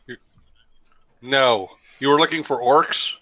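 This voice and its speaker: A man's voice: medium pitch, slow, bright, forceful tone. A man voicing a big, blunt male adventurer who speaks in short, terse sentences. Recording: dull lo-fi mic.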